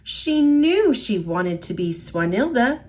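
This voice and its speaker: A woman reading a children's picture book aloud in an expressive storytelling voice. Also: a little high, takes it slowly.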